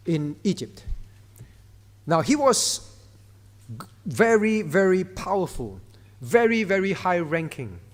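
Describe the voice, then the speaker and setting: A male pastor, preaching a sermon in a conversational way and often turning to the congregation. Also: high voice. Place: church hall. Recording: reverberant church hall.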